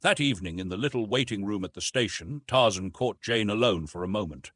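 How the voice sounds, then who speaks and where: A man with a slightly husky voice narrating a classic adventure novel in an expressive, carefully phrased, dramatic storytelling style. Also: lowish voice, a little fast, no room sound, studio quality. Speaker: audiobook narrator.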